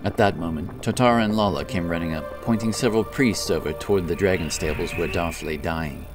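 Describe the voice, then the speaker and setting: A man's voice: deep voice, brisk. A man narrating a scripted fantasy story in a solemn, dramatic manner. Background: music.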